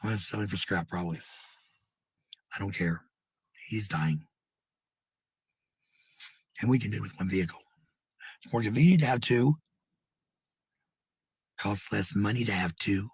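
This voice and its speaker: An American man with a husky, gravelly voice rambling casually to livestream viewers, blunt, sweary and given to repeating phrases. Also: lowish voice, moderate pace, pauses often, no room sound. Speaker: live streamer.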